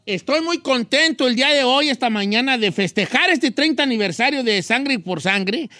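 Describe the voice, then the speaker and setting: An enthusiastic male talk show host who mixes Spanish and English. His voice is very high and bright, recorded dry and close. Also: animated, moderate pace, dry recording. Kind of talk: casual talk show hosting.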